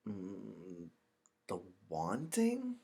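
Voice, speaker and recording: A hesitant young boy as read by a man from a storybook, speaking in a low register for his age. He is earnest and halting, embarrassed by what he confides. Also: wildly swooping pitch, creaky, warm tone, slightly rough, heavily acted. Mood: wistful, embarrassed.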